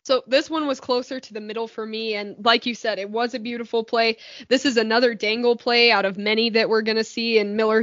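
A woman's voice: medium pitch, fairly bright tone, no echo, dull audio. A woman co-hosting a hockey podcast as its analyst, talking earnestly and enthusiastically about plays. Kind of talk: casual podcast discussion.

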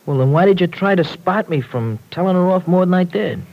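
An actor playing a rough, sheepish young American man eager to improve, with slangy, uneducated speech, in old radio soap style. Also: sits high, animated, fairly quick, highs cut off. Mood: eager, unsure. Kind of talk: 1940s radio soap opera acting. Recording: noisy old radio drama recording.

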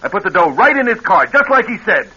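Down-on-his-luck ex-convict, American, curt, wary, raspy, very tense forceful tone, old radio drama, dull recording. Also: high-pitched, sing-song, fast, heavily acted. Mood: bitter, defensive. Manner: curt, gruff, defensive. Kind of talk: classic radio drama acting.

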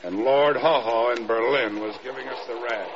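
An actor playing a reflective former American army major, telling his story in a measured vintage radio drama style. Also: mid-range voice, crowd noise, natural voice. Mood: intense, remembering. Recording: muffled old radio recording with loud music.

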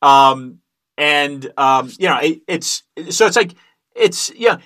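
Bright, halting male host. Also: on the high side, expressive. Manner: halting, many restarts and fillers. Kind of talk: interview hosting.

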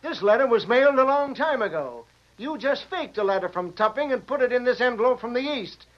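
An actor playing a crusty, folksy American sheriff in an old-time radio western. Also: male voice, extremely high pitch, lively intonation, a little fast. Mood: commanding. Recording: dull, noisy old radio recording.

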